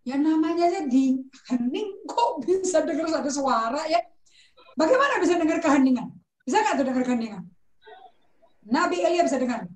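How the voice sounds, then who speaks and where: High-voiced woman preaching informally. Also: expressive, a little fast, a little mellow, echoey room, rough recording. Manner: conversational, mixes in English words, asks rhetorical questions. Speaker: pastor. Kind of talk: informal teaching sermon.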